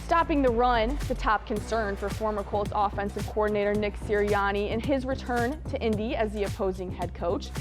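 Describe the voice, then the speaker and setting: A young woman anchoring a local TV sportscast, reading highlights with punchy, excited calls on big plays and wry asides. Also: normal speed, few pauses, music in the background. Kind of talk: scripted sportscast narration over highlights.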